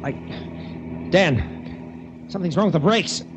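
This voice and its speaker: A man's voice: high voice, lively intonation. An anxious nervous young man. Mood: panicked. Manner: quick, rising, nervous. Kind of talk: 1940s radio acting. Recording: hissy lo-fi old radio transcription.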